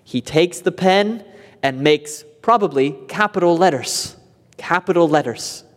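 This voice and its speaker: A man's voice: fairly high pitch, expressive, a little slow. A man preaching an expository sermon, explaining in an earnest way and rising in emphasis on key points. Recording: long hall reverb.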